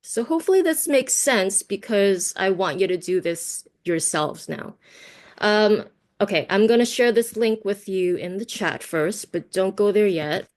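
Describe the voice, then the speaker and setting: A woman's voice: mid-range voice, expressive, a little slow, darkish tone. A woman whose first language is Cantonese, from the United States, leading an online teaching workshop over a video call in a conversational way, often checking in with tag questions. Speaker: workshop presenter.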